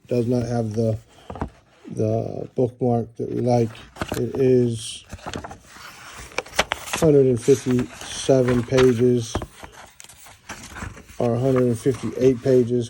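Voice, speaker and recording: A middle-aged American man showing and describing books in a relaxed, rambling way, with many fillers and restarts. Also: mid-range voice, slow, long pauses, noisy background. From United States. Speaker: book reviewer. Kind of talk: casual book show-and-tell.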